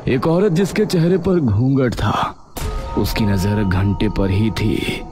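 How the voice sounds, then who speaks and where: A male storyteller with a lowish voice reads a scripted horror tale at a very slow, measured pace. Soft music plays behind him. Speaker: narrator.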